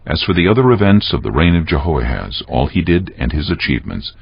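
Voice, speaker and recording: Solemn male narrator for dramatized scripture: rock-bottom pitch with expressive swings, measured storytelling delivery. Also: gravelly, breathy edge, lo-fi sound.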